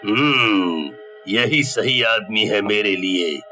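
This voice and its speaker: Man acting a slow, sharp-edged gloating devil. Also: fairly low pitch, lively intonation, faint music behind, heavily acted. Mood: scornful, scheming. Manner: menacing, drawn-out, scornful of honest folk. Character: gloating male devil possessing a greedy village bully. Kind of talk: Hindi cartoon story acting.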